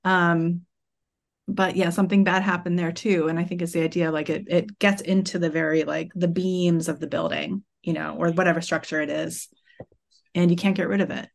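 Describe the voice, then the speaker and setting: A woman's voice: on the low side, steady tone, moderate pace, creaky. A woman chatting loosely with many fillers as a guest in a casual roundtable discussion. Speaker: podcast guest.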